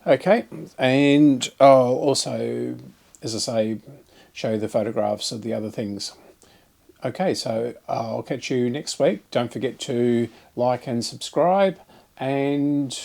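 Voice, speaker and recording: A man hosting an electronics channel, talking casually and haltingly through an update and demonstration. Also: medium pitch, vocal fry, darkish tone. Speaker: host of an electronics repair channel.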